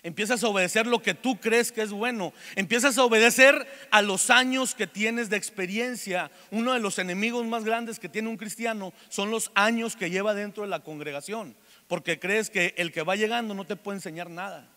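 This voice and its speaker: A man's voice: high-pitched, moderate pace, bright, forceful tone, big-room sound. A man in his forties with a Mexican accent, preaching a sermon and mixing jokes and stories with earnest calls to forgive. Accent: Mexican Spanish. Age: 40s. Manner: joking storytelling between earnest exhortation. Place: church meeting hall.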